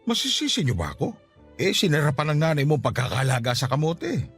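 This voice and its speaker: A man's voice: fairly high pitch, big pitch swings, fast, a bit bright, breathy edge, soft background music, heavily acted. A man playing a doting, teasing middle-aged Filipino father and husband in a Tagalog radio drama. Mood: playful, affectionate. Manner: teasing, sweet-talking.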